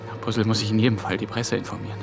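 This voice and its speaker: An actor playing a frantic, self-reproaching young intern in a radio drama, talking to himself in agitated fragments. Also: male voice, on the low side, flat delivery, rapid-fire, vocal fry. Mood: nervous reasoning. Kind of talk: radio drama acting, agitated inner monologue. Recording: radio drama with loud music behind.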